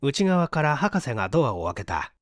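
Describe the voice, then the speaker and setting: A man's voice: medium pitch, very animated, moderate pace, dry recording, clean studio sound. A man reading a literary story as a scripted audiobook narration. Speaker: audiobook narrator and stage actor.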